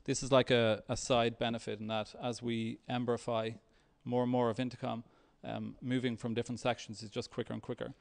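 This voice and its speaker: A man giving a software talk with a live demo, speaking in a halting, hesitant way. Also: medium pitch, little pitch movement, creaky. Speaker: software developer presenting at a tech meetup.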